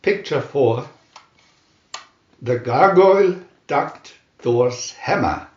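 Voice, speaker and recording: A man reading a scripted adventure story aloud in a dramatic, deliberate narrator's manner. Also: mid-range voice, animated, darkish tone, reverberant, lo-fi sound. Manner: dramatic, deliberate comic-adventure reading with announced picture numbers. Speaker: narrator and reader.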